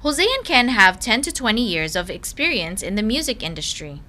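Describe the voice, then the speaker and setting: Female news reporter, upbeat voice-over, bright tone, lively pitch, faint background noise. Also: normal speed. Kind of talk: scripted news narration.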